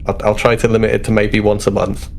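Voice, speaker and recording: A man's voice: lowish voice, flat delivery, quick pace, vocal fry, a little hiss or hum. A man playing a cheeky, thrill-seeking starship officer in a tabletop game. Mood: cheeky, sheepish.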